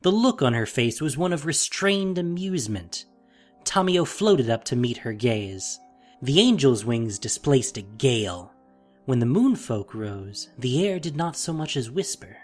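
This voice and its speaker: A narrator reading scripted fantasy drama in an expressive, deliberate storytelling manner. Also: medium pitch. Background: faint music.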